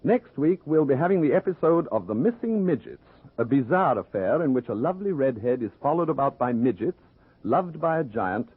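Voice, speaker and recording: An actor playing a suave, dryly patient gentleman adventurer, courteous and wry, in old-time radio style. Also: medium pitch, very animated, brisk, slightly rough, dry recording. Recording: tinny, narrow-band old radio recording.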